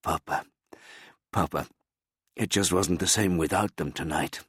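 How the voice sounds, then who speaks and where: A worried, tender grown son, as a man voices him, speaking with concern and affection. His voice stays deep and measured for this storybook. The sound is close and dry. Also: fairly bright tone, husky, no echo, full character voice.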